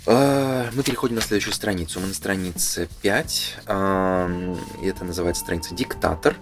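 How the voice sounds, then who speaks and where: Man, very low voice, casual presenter, hesitant thinking-aloud commentary, clear music underneath. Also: normal speed. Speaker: presenter reading through a game booklet. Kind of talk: casual unscripted explanatory commentary.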